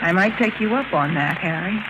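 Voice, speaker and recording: An actress playing a mysterious, cool and steely countess in a 1950s radio drama. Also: female voice, fairly low pitch, expressive, a little fast, highs cut off, close to an everyday voice. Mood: weary. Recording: noisy vintage 1950s radio recording.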